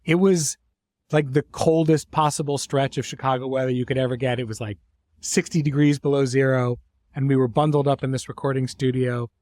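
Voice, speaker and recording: A man hosting a conversational interview, speaking thoughtfully in phrases broken by pauses and restarts. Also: medium pitch, fairly even intonation, darkish tone, no room sound. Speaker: host, series creator.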